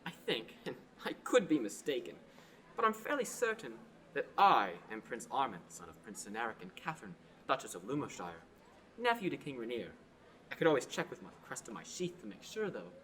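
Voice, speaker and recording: An arrogant young prince in a student radio play, haughty and cutting, played by a teenage boy. His pitch swings widely as he sneers, over a faint hiss. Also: huge pitch swings, fairly quick, fairly bright tone.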